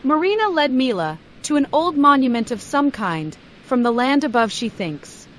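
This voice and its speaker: A woman's voice: high-pitched, big pitch swings, normal speed. A woman narrating a scripted children's bedtime story.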